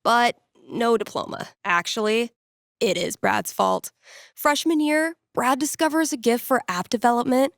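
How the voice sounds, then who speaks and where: A woman's voice: fairly high pitch, lively intonation, a little slow, fairly bright tone, no room sound, studio quality. An actress playing a wry, playful young American woman in a video game, with casual radio DJ patter and a teasing way of talking.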